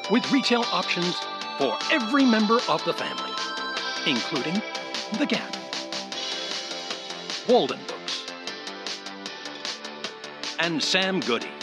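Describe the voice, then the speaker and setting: A man narrating a retro mall commercial as an announcer, salesy and emphatic with drawn-out slogans. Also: sits high, wildly swooping pitch, loud music behind the voice.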